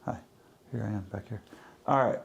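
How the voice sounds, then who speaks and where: A man narrating his work casually as he goes, step by step, in short, halting phrases. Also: fairly low pitch, gravelly, highs cut off, slight background noise. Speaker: livestreaming audio producer.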